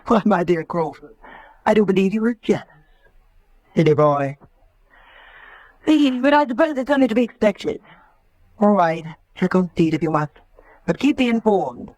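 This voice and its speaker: An actress playing a vain, flirtatious, self-assured villainess in a vintage radio drama, with a languid, condescending manner. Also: female voice, mid-range voice, sing-song, measured pace, long pauses, a little mellow, no echo, rough recording. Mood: amused, teasing.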